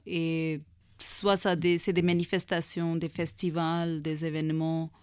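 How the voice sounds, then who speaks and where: A woman's voice: sits low, flat delivery, measured pace, clean tone, no room sound, studio quality. A woman, a Hindi speaker, speaking as a guest in a casual radio interview, hesitant with many fillers and restarts. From India. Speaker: guest, association founder.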